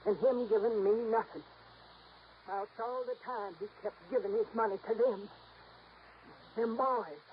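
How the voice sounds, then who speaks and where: A woman's voice: fairly high pitch, unhurried, long pauses, close to an everyday voice. A woman playing a bitter, defensive older housekeeper in a 1950s radio drama, with folksy, agitated speech. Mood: bitter, desperate. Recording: dull, noisy old radio recording.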